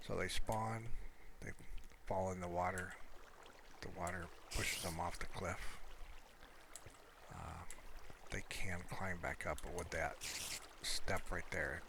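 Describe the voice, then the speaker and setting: A man giving casual, unscripted, rambling commentary while showing his game world in a low-key, conversational way. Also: steady tone, takes it slowly, creaky, noisy background.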